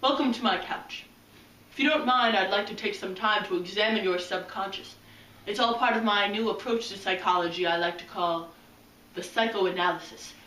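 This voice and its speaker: A woman's voice: medium pitch, moderate pace, bright, forceful tone, a little hiss or hum, lightly acted. Woman playing a pompous male psychoanalyst, roomy echo. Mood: smug, clinical. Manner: clinical, self-important, flustered stammering when challenged. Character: pompous, self-absorbed male psychoanalyst, absent father. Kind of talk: amateur comedy skit acting. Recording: roomy echo, distant microphone.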